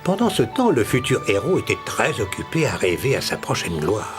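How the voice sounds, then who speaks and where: A man narrating a scripted animated children's tale. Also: on the low side, normal speed. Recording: music behind the voice.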